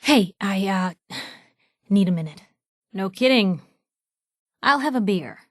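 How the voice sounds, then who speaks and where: A woman voicing a sharp-witted, idealistic young female reporter companion in a video game, wry and conversational. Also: mid-range voice, lively intonation, slow pace, no room sound, clean studio sound. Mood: wry, teasing.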